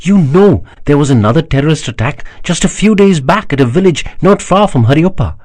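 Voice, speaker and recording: A man voicing an impatient, forceful female prime minister who presses her point urgently. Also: a little high, lively intonation, fairly quick, dry recording, voice pushed up. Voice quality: urgent, insistent.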